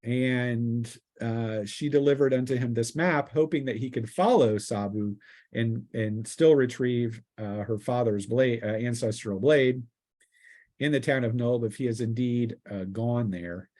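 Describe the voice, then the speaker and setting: A man running a tabletop roleplaying game as game master, narrating and explaining rulings casually in a halting, explanatory way. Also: medium pitch, darkish tone.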